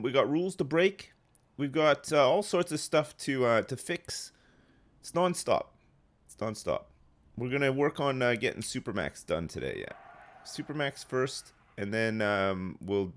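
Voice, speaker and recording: A man's voice: medium pitch, big pitch swings, unhurried. A man in his thirties streaming a game live, phlegmy and rambling as he answers chat. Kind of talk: casual live gameplay chat.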